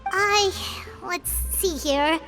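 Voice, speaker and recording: A woman voicing a tiny, excitable, childlike fairy companion in a video game, hesitant and full of exclamations. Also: very high-pitched, very slow, soft background music, heavily acted. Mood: unsure, helpful. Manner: refers to self in third person, hesitant and exclaiming.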